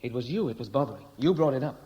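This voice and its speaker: A man's voice: medium pitch, animated, rapid-fire, dark tone, muffled recording, natural voice. Guy acting as jaded sailor back home, dry blunt talk, old radio play. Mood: cynical, guarded. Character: cynical Welsh seaman home after years away. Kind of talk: 1960s radio drama acting.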